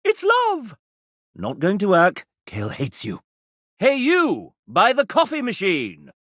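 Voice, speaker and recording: A man's voice: high voice, wildly swooping pitch, slow pace, fairly bright tone, no room sound, clean studio sound, full character voice. An actor playing a sassy, theatrical male guide, narrating a video game in an arch, chatty way. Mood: gleeful, then dryly disapproving.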